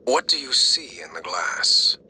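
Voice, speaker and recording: Probing male game interviewer, rather low, lively intonation, measured, insistent, faint noise. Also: exaggerated voice. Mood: probing, controlled. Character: probing male interviewer, calm clinician questioning a patient. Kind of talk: dramatic video game voice acting.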